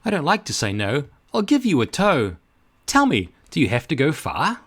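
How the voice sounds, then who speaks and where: A man's voice: fairly high pitch, wildly swooping pitch, normal speed, voice pushed up, full character voice. A man voicing a modest, kindly male van driver in a children's picture book, earnest and obliging. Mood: eager, obliging.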